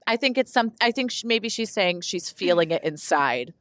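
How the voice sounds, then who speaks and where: A woman's voice: mid-range voice, animated, moderate pace, fairly bright tone, dry recording, clean studio sound. A woman co-hosting a podcast in casual comic banter, candid and joking. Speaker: podcast co-host.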